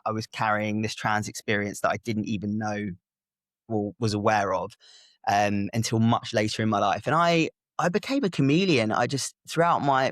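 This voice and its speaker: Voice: medium pitch, no room sound, clean studio sound. Reflective non-binary speaker. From Italy. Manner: reflective and open, many soft hesitations. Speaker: guest, activist and public speaker. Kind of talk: candid interview talk.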